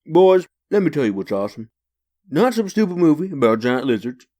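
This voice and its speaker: A man's voice: fairly high pitch, big pitch swings, a little fast, dark tone. A man playing a joking, chummy vagrant drinking with his pals in a comic dub, with folksy, slangy banter. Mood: jovial, teasing.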